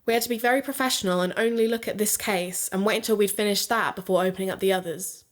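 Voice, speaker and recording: An actress playing a curious, keen podcast co-host and amateur investigator in an audio drama. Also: female voice, mid-range voice, fast talker, lightly acted. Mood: earnest, keen. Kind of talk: audio drama dialogue and narration.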